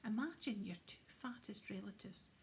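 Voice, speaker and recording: A woman narrating a children's storybook in an expressive, often hushed and dramatic way. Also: mid-range voice, normal speed, slight background noise. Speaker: storybook reader.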